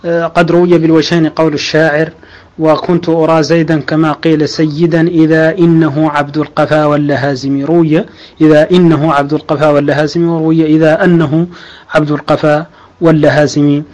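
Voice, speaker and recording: A man teaching Arabic grammar, explaining slowly with drawn-out pauses and restarts, and reciting quoted verses. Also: fairly high pitch, little pitch movement, a little mellow. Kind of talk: lecture explaining a grammar text.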